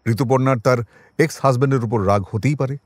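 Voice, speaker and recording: An actor playing a thoughtful, unhurried detective in an audio drama, musing and explaining deliberately. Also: male voice, mid-range voice, no room sound, lightly acted. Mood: reasoning. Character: thoughtful, unhurried male detective reasoning through suspects.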